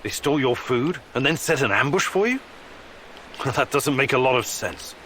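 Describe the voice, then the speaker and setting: Man acting a wary, sharp-voiced game hero. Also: mid-range voice, animated, normal speed, slight background noise, natural voice. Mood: skeptical. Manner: skeptical, questioning. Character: wary, probing male scientist hero, suspicious and steady. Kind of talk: video game character acting.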